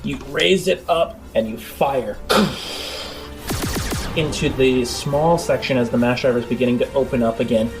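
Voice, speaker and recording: A man improvising halting, descriptive narration as the game master of a tabletop role-playing game. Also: medium pitch. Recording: music bed under the voice. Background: background music.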